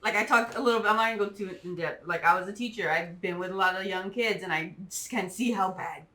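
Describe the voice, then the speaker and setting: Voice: medium pitch, fast talker, sharp tone. A former teacher joining a casual chat and responding approvingly to the others' stories.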